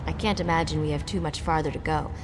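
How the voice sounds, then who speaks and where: A woman's voice: low-pitched, steady tone, quick pace, background noise, lightly acted. A woman playing a brave, wryly humorous warrior companion in a video game, speaking with earnest urgency. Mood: wary, worried.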